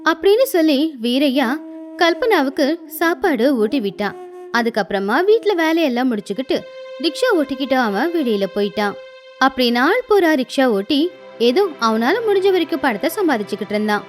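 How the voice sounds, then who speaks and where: A woman's voice: high-pitched, big pitch swings, fairly quick, soft background music. A woman reading scripted storybook narration as the narrator of a tale.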